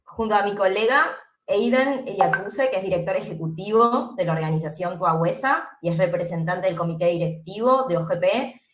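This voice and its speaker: A woman giving prepared opening remarks over a video call, speaking in a measured, formal way. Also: medium pitch, echoey room. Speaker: webinar speaker.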